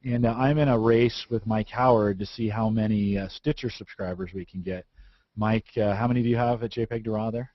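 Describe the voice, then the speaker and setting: A man's voice: on the low side, steady tone, brisk, no room sound, muffled recording. A man hosting a casual tech podcast from his home studio, chatty and rambling, with lots of fillers and restarts. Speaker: podcast host.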